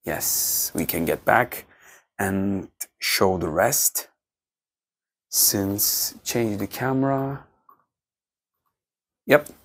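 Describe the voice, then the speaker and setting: A man, a tech reviewer giving an off-the-cuff walkthrough of projector menus in a halting way, with many restarts. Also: lowish voice, no room sound. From Turkey. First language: Turkish.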